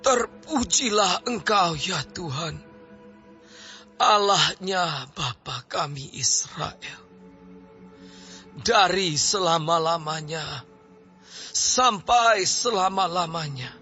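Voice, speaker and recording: Slow, stately old king. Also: high-pitched, animated, bright, forceful tone, full character voice. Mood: reverent, exalting. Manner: declamatory, reverent, deliberate. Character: aged King David, solemn, devout, stately ruler. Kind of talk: audio drama Bible acting. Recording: slightly dull audio under clear music.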